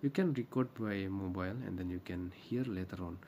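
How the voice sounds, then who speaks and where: A man's voice: low-pitched, normal speed, dark tone, slight background noise. A man with a Javanese accent giving casual vlog tips, switching between English and Indonesian with many hesitant fillers. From Java, Indonesia. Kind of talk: casual commentary.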